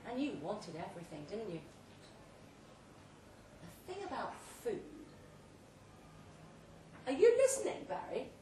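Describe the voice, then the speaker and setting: A woman reads a short story aloud at a live event, delivering it theatrically in measured, deliberate phrases. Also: fairly high pitch, huge pitch swings. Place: pub event room, read aloud live. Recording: roomy, distant live recording with faint noise.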